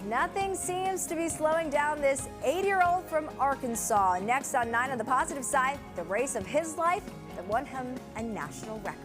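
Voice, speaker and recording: A female anchor with a fairly high voice reads a positive news segment. Her delivery is clear, polished and upbeat. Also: lively intonation, normal speed, hardly any pauses, music in the background. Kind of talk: scripted news presentation.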